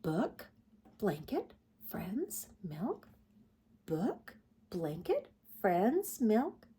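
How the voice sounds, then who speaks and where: A woman voicing a gentle, patient mother bear in a bedtime storybook, coaxing in drawn-out words. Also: medium pitch, huge pitch swings, slight background noise, exaggerated voice. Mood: pondering. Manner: coaxing, slow, drawn-out delivery. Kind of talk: children's storybook read-aloud.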